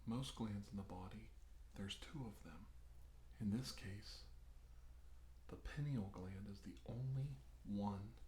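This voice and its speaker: In a roomy, echoing space with some background noise, a soft-spoken doctor played by a man gives an ASMR examination. He talks very slowly and deliberately in halting phrases, often slipping into a whisper. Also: lowish voice. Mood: calm, grave. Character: unhurried doctor examining an abductee, explaining alien plans.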